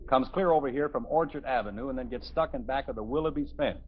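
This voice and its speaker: A man's voice: medium pitch, quick pace, slight background noise, natural voice. An American actor playing an exasperated, sarcastic suburban father in a 1950s radio comedy. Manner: sarcastic, grumbling. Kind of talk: 1950s radio sitcom acting. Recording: muffled 1950s radio recording.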